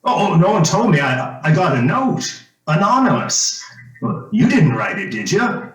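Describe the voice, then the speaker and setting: A man's voice: high voice, very animated, unhurried. A man acting an insistent, coaxing former small-town police chief in an old-time radio drama, stammering and hesitating as he talks. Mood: eager, coaxing. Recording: roomy echo, music underneath.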